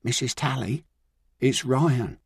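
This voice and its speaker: A man's voice: medium pitch, slow, slightly rough, no room sound, clean studio sound, pitched above the performer's own voice, heavily acted. A man voicing a scared, sulky young runaway. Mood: desperate. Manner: desperate, sulky, pleading.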